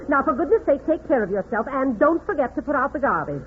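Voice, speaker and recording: A woman's voice: high-pitched, big pitch swings, fast. A woman playing a bossy, nagging wife in a 1940s radio comedy, scolding and commanding. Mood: brisk, bossy. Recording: tinny, faintly noisy 1940s radio recording.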